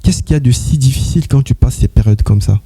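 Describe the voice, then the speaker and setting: A man's voice: medium pitch, fast, mellow, breathy edge. A man interviewing a guest in a casual, encouraging way, often affirming her with short replies. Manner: encouraging, frequent backchannel affirmations.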